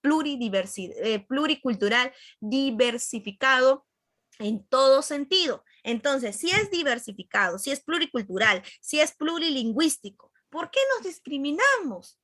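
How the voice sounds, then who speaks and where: A woman's voice: high-pitched, animated, moderate pace, fairly bright tone, no room sound, clean studio sound. A woman with a Peruvian accent teaching a class conversationally, repeating key points and checking in with her listeners. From Peru.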